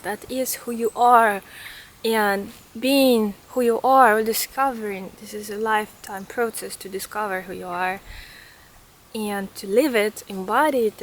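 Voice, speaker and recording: A woman's voice: medium pitch, slight background noise. A woman in her thirties with a Ukrainian accent, talking in an earnest, reflective way to camera as a vlogger. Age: 30s. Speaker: vlogger and artist. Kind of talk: casual reflective vlog talk to camera. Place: outdoors in a forest.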